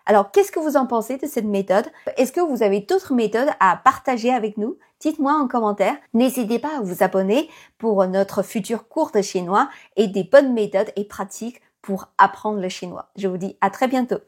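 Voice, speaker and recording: Breathy woman teacher. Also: a little high, expressive, normal speed. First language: Chinese. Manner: many fillers, thoughtful, encouraging. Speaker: Chinese teacher. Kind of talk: casual video lesson.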